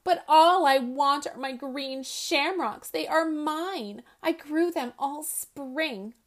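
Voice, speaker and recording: A woman voicing an eager, fretful little male rabbit in a storybook reading, high and excitable. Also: slow pace. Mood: earnest. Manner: excited, high and bright in his exclamations.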